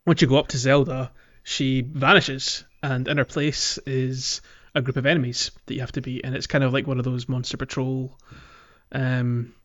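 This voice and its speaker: A man's voice: mid-range voice, little pitch movement, moderate pace, fairly bright tone, lo-fi sound. A man hosting a podcast, chatting casually and explanatorily through a video game playthrough. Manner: conversational, explanatory. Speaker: podcast host. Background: clear music.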